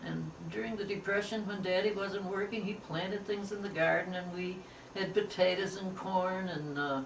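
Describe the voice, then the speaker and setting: A woman's voice: medium pitch, fairly even intonation, moderate pace. A ninety-year-old American woman recalling family history in informal talk, piecing memories together as she goes. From upstate New York, United States. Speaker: interviewee, family elder. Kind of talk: informal oral history recollection. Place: echoey room, away from the microphone. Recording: echoey, distant, clear background noise.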